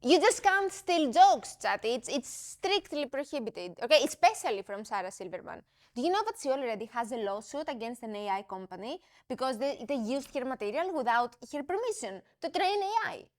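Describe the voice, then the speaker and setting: Woman acting a fed-up comedy writer, high voice that swings all over, very emphatic. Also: brisk. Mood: exasperated. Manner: expressive, emphatic. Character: exasperated woman comedy writer scolding her AI.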